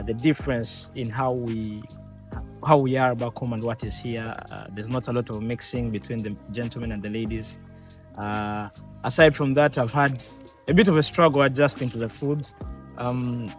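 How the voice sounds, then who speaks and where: A man from Uganda, a university student, speaking in a measured, articulate way. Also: mid-range voice. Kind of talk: casual on-camera answers.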